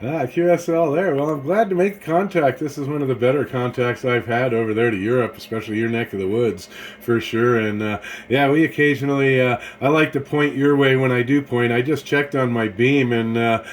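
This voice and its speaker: A man's voice: mid-range voice, steady tone, fairly quick. A man, an amateur radio operator making a casual, laid-back, folksy contact. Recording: faint background noise in radio room.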